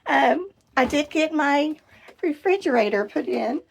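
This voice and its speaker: A middle-aged woman with a hoarse voice, chatting casually as she gives a tour of her camper van. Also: sits high, lively intonation. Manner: chatty and enthusiastic, pausing while showing things. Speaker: vlogger. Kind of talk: casual home tour vlog. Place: inside a small camper van.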